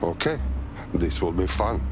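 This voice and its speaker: An actor playing a brusque, confrontational resistance operative in a video game cutscene, blunt and impatient. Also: male voice, low voice, expressive, measured pace. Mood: exasperated, wry. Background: music.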